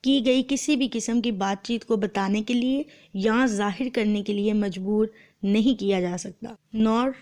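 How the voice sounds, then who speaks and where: A woman's voice: mid-range voice, a little slow, a little mellow. A woman with a Pakistani accent and a sore throat, teaching law in an explanatory lecture style, switching between two languages. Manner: explanatory, translating phrase by phrase. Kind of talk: lecture explaining law, mixing Urdu and English.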